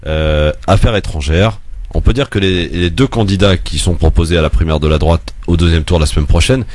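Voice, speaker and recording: A low-pitched male radio host who moderates a debate with a dry, close sound. He keeps things orderly and insists speakers let each other finish. When he explains at length, he pauses often and fills the gaps with hesitations. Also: darkish tone, no echo. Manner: hesitant, with many filler sounds; firm when calling for turn-taking.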